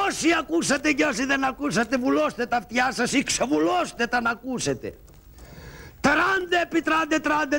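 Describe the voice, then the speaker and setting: A man voicing a poor, cheeky male trickster in a shadow-puppet play, full of mocking wisecracks and loud street-crier shouts. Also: very high-pitched, gravelly, exaggerated voice. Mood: crying out. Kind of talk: Greek shadow-puppet theatre.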